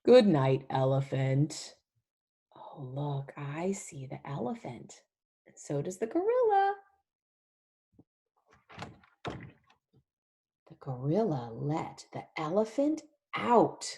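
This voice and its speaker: A woman leading an interactive storybook read-along for young children, animated and emphatic, using simple repeated phrases and questions. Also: deep voice, slow pace. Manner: animated, emphatic, often drops to a whisper.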